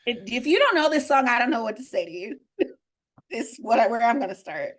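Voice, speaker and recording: A woman's voice: fairly high pitch, very animated, fairly quick. A woman whose first language is Spanish, co-hosting a podcast in casual chat, talking with many ums and likes. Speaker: podcast co-host.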